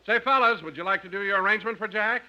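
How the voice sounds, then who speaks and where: A man's voice: sits high, racing, lo-fi sound, a little hiss or hum. An American man acting as the announcer and sidekick in a 1950s radio comedy.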